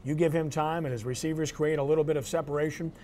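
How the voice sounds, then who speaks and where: A man's voice: on the high side, steady tone, quick pace, darkish tone, a little hiss or hum. A man hosting a sports show, giving commentary and results in clipped, headline-style phrases.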